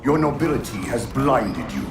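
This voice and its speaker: An actor playing an ancient, imperious alien warlord in a video game, speaking in grandiose, menacing pronouncements with contempt for humans. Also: male voice, very animated, normal speed, close to an everyday voice. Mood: scornful, menacing. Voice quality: gravelly, booming. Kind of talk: cinematic video game villain acting. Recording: cavernous echo, distant, music underneath.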